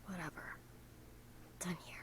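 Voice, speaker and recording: A woman's voice: a little slow, fairly bright tone, in a whisper, lightly acted. A woman playing a sullen, defiant teenage girl in a visual novel, curt and sardonic. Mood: curt, dismissive.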